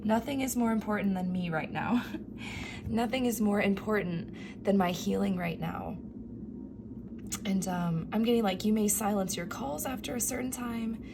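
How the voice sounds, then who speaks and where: A woman reading tarot cards for her viewers, speaking softly and affirmingly, often slipping into a whisper, her voice going from a cough. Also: mid-range voice, moderate pace, background noise. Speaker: tarot reader. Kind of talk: pick-a-card tarot reading.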